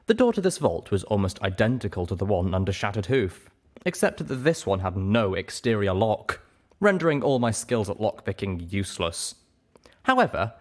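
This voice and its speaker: A man reading a story aloud as expressive, scripted first-person narration. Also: on the low side. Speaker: audiobook reader.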